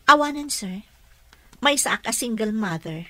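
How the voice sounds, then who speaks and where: A woman's voice: fairly high pitch, expressive, unhurried, bright, forceful tone, no echo. A woman playing a caring but frank single mother and nurse in an Ilocano radio comedy-drama. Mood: polite, frank.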